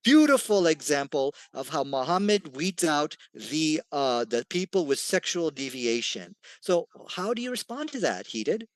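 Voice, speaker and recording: A man's voice: a little high, very animated, measured pace, few pauses, no echo, low-quality recording. A man delivering an argumentative debate rebuttal in a dismissive, emphatic manner.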